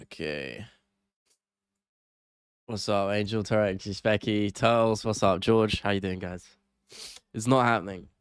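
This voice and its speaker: A young man hosting a casual live stream, sick with a cold so he sounds nasal and gravelly, chatting and apologizing as he reads out viewer comments. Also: lowish voice, unhurried, long pauses, dry recording, studio quality. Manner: apologetic, relaxed, chatty, thinking out loud. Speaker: MMA live-stream host.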